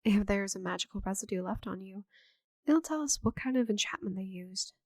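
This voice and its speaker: A woman playing a friendly, chatty, slightly scatterbrained forest witch in an ASMR roleplay audio drama, with a gentle, theatrical manner. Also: medium pitch, lively intonation, fairly quick, no echo, studio quality. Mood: curious helpful.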